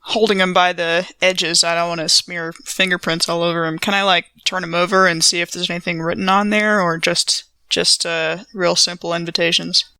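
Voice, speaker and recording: A woman's voice: lowish voice, little pitch movement, moderate pace, hardly any pauses, pushed and bright, clear, resonant tone, no room sound. A woman playing a tabletop role-playing game, chatting casually and thinking aloud in a playful way. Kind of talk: casual improvised role-play and table chat.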